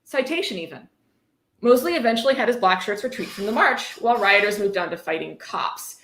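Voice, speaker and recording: A woman's voice: mid-range voice, expressive, fairly quick, fairly bright tone. A woman hosting a mocking livestream book reading, sarcastic, irreverent and profane, breaking off into casual commentary. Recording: echoey room.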